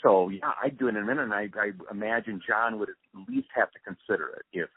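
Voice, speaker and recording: Deep husky older guy on the phone, telling stories casually in an interview, dry narrowband sound. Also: fast talker, no room sound. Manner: conversational, anecdotal, explanatory. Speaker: interview guest, radio drama producer. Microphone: phone call. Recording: tinny narrowband phone line.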